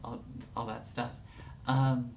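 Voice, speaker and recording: Young woman, very low pitch, casual tutorial chat, lots of ums, faint room noise. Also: slow, vocal fry, rough recording. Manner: relaxed, rambling with many fillers. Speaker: vlogger.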